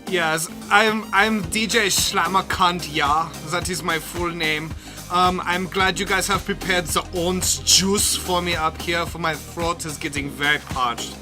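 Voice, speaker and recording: Guy doing a german techno dj, stiff formal phrasing, flamboyant and earnest, loud music behind him. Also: sits high, fairly even intonation, measured pace. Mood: formal, deadpan. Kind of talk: improvised comedy character monologue.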